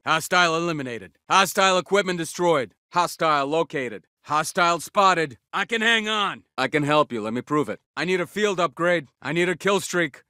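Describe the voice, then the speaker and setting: A tough special forces soldier from a military shooter game. His voice is bright and forceful, set a little high for a man. He barks terse, commanding callouts, recorded very dry and close. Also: smooth, no echo. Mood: grim, confident, then brisk, urgent. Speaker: video game voice actor.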